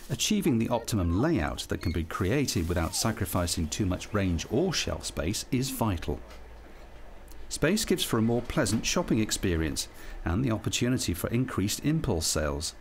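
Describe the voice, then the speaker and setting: A man's voice: on the low side, a little hiss or hum. A British man reading scripted narration for a training film. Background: background music.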